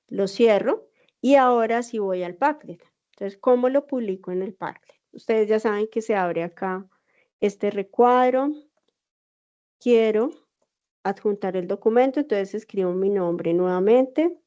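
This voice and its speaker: A woman's voice: mid-range voice, dark tone. A woman teacher with a Bogotá accent explaining an assignment step by step in an online class, patiently checking that students follow. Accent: Bogotá Spanish. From Colombia. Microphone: video call. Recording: dull lo-fi video call audio.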